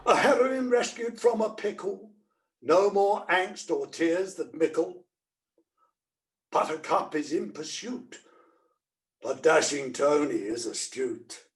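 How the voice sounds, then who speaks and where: A dramatic pantomime storyteller played by a man, reciting rhymed narration slowly. The high voice is slightly distant, as on a video call.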